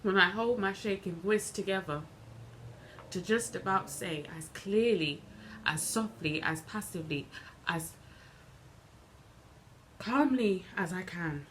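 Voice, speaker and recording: A woman performing a dramatic monologue as a bitter, wronged young woman recounting events in a deliberate, halting way with emphatic repetition. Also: mid-range voice, fairly bright tone, poor audio. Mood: controlled hurt. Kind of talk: dramatic stage monologue, self-taped. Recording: noticeable background noise.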